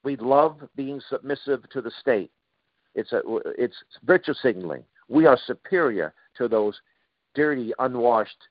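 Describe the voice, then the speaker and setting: Middle-aged male radio host, sarcastic, halting delivery; dull, dry lo-fi sound. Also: on the low side, no room sound. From Boston, Massachusetts. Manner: sarcastic, halting with frequent uhs and restarts. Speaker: radio talk show host. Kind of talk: talk radio monologue.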